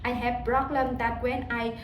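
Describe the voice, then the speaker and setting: A woman from Vietnam with a Vietnamese accent, talking casually in English about how she learns it, halting and often repeating words as she searches for them. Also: on the high side, level tone, reverberant, slight background noise.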